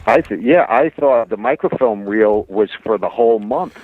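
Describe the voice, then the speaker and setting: A man's voice: on the low side, animated, normal speed. A man speaking as a guest researcher in a radio interview, telling his story earnestly and informally. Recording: dull, lo-fi dry radio sound.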